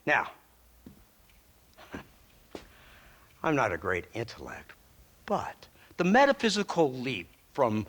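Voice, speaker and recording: Smug, bright-voiced American government agent. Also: male voice, high-pitched, gravelly, exaggerated voice. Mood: smug lecturing. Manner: mocking, rhetorical speechifying with chuckles. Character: smug, sardonic male government agent, ruthless and theatrical. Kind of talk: western video game cutscene acting.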